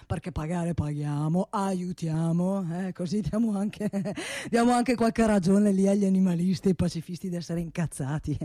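Woman playing a mellow, blustering rich factory boss. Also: fairly low pitch, dry recording, studio quality, close to an everyday voice. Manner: theatrical, repetitive, bossy, breaking into muttering. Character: blustering wealthy male gun-factory boss, provincial, restless. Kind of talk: solo stage monologue for radio theatre.

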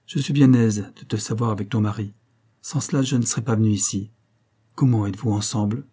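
A man voicing a frail old female servant in a storybook reading, faint, soft and resigned, gently reproachful. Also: fairly low pitch, steady tone, brisk, husky. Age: older. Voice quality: faint, lifeless voice.

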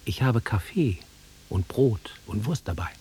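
A nostalgic middle-aged man in a radio play, with a low, husky voice that swings widely in pitch. He is insistent and touchy, dwelling wistfully on what he holds dear. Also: lively intonation, unhurried, dark tone, full character voice. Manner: wistful, insistent, easily offended. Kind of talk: naturalistic radio drama acting.

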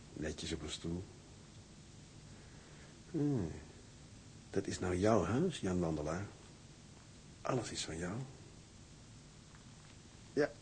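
Young sailor just married, cocky and stubborn, lively swinging delivery, radio play. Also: male voice, deep voice, a little hiss or hum, heavily acted. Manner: animated, swings from hushed muttering to loud bluster. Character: young newlywed ship's mate, boastful, stubborn. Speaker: ship's mate.

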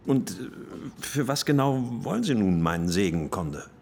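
An actor playing a reluctant, authoritative colonel, a police superior in a radio crime drama, speaking with grudging authority. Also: male voice, lowish voice, lively intonation, moderate pace, vocal fry, darkish tone, slightly rough, heavily acted.

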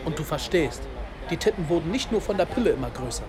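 A man's voice: a little high, expressive, fast, noisy background, lightly acted. A man playing a street-smart narrator in a radio drama, telling a gritty story in rhythmic, staccato phrases. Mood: grave. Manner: rhythmic, staccato spoken-word delivery in short phrases. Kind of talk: scripted radio drama narration in second person. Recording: loud music bed, urban street sounds.

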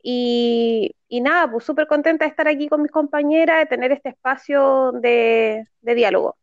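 A woman's voice: high-pitched, moderate pace, no echo. A Chilean woman in her forties, speaking thoughtfully in a casual discussion, often restarting her words. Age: 40s. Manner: thoughtful, hesitant with repeated words. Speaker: podcast guest, activist. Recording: dry, dull lo-fi sound.

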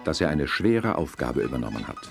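An actor as the narrator of a children's audio drama, telling the scripted story in a dramatic storybook manner. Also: male voice, very deep voice, very animated, a little fast, gravelly, over loud music, heavily acted.

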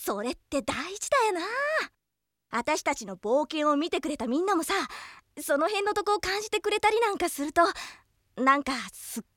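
A woman playing a brash, tomboyish young adventurer who speaks in rough, boyish casual language on an anime character radio show. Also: high-pitched, lively intonation, moderate pace, a bit bright, no room sound, clean studio sound. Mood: casual, earnest. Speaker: radio request show co-host.

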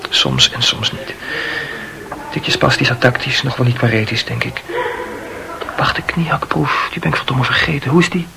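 An eager young medical student in a radio play, acted by a man, with a gravelly creak in the voice. He chats nervously and justifies himself in a conversational way, with clear noisy ambience behind him. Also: medium pitch, moderate pace, long pauses, lightly acted. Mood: unsure, muttering. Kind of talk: radio drama acting.

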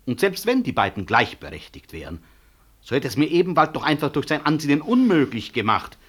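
An actor playing a proud, principled senior physician in a radio drama, blunt and dryly ironic. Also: male voice, fairly high pitch, very animated, a little fast, a bit bright, husky, heavily acted. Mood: indignant, scornful. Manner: blunt, incisive, sardonic. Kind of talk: 1960s German radio drama acting.

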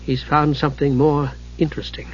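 A man's voice: a little high, normal speed, lo-fi sound, slight background noise. Man as an earnest, anxious young mage. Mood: worried. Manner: soft, earnest, uncertain.

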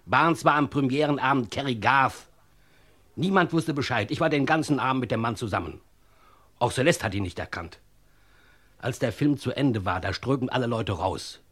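A film star's scheming male friend in a radio crime drama. His mid-pitched voice is husky and slightly rough. He talks in a matter-of-fact, persuasive way, handing out instructions and taking charge. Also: moderate pace, fairly bright tone. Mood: urgent recounting.

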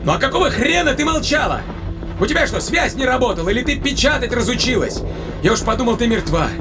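Man acting an excitable spaceship captain, swooping pitch. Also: fast, music in the background. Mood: excited, relieved. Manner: emphatic, colloquial, exclamatory. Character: excitable, relieved male spaceship captain, anxious and talkative. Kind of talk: dramatised science-fiction audiobook dialogue.

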